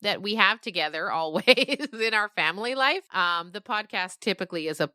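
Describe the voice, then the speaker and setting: A middle-aged woman talking casually and reflectively as a podcast interview guest, telling stories in an animated way. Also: medium pitch, normal speed, smooth, dry recording, clean studio sound.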